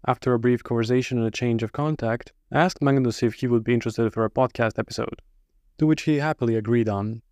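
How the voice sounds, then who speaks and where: A man's voice: medium pitch, fast talker, a little mellow, no room sound. Man hosting a podcast, dry close sound. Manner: many short backchannel murmurs. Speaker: podcast host.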